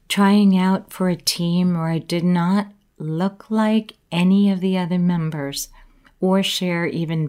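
A woman giving a slow, earnest inspirational talk about courage, reflecting on her own life. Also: sits low, darkish tone. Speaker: motivational speaker.

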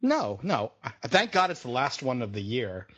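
A man's voice: medium pitch, very animated, moderate pace, a bit bright, breathy edge, muffled recording. A man co-hosting a podcast, riffing with crude jokes while commenting live on a debate. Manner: profane, riffing, laughs often. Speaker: podcast co-host.

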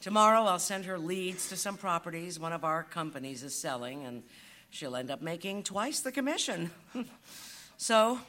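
A woman playing a take-charge, businesslike household pet in a live comedy audio play, brisk and commanding. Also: lowish voice, lively intonation, fairly bright tone. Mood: weary.

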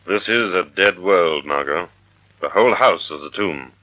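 Crisp, forceful gentleman sleuth. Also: low-pitched, normal speed, no room sound. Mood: brooding. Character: suave, resolute gentleman sleuth, calm amid danger. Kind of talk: 1940s radio drama acting.

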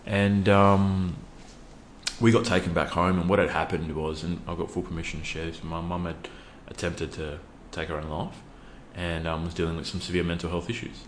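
A laid-back Australian man with a very low voice, chatting as a podcast guest with many ums and pauses. His speech echoes around the room, with a faint hiss underneath. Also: little pitch movement. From Western Australia. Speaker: podcast guest, youth worker. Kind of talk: casual interview talk.

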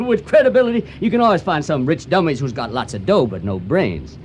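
A man's voice: fairly high pitch, very animated, brisk, a little mellow. An actor playing a folksy, menacing con-man pirate who poses as a pious sailor, drawling and taunting in a radio drama. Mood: mocking glee. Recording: noisy storm-like ambience behind voice.

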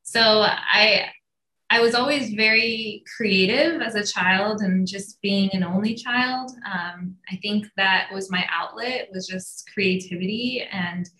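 Casting: Iranian-born woman guest, casual rambling storyteller, bright tone, echoey room. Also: mid-range voice, takes it slowly. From Iran. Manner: chatty, many fillers and restarts. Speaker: guest entrepreneur. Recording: roomy echo.

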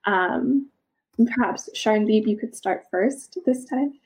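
Woman host with a mid-pitched voice. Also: takes it slowly, a little mellow, slight background noise, rough recording. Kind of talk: moderating a webinar discussion.

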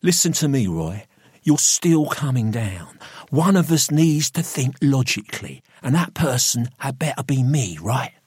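A ruthless, domineering man, played by a male narrator, gives orders in an audiobook scene. The voice is raised in pitch and husky, with a sharp, hissed urgency. He is cold and contemptuous. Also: big pitch swings, unhurried, no echo, clean studio sound, full character voice. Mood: sharp, commanding.

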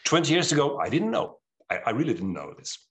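A middle-aged man with a Swedish accent, an author talking in an interview, earnest and animated, often restarting his sentences. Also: mid-range voice, fast talker. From Sweden. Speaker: author guest. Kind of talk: interview conversation.

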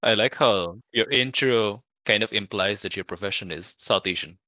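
A man's voice: lowish voice, moderate pace, sharp tone, no room sound. Dry, teasing man from Bangladesh, lo-fi. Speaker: academic and player. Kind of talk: casual podcast chat. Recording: dull lo-fi call audio.

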